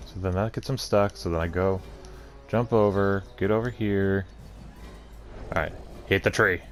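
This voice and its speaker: A man's voice: sits low, steady tone, a little slow, faint music behind. A man doing casual let's play commentary, thinking aloud and muttering to himself as he plays. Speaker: gaming video host.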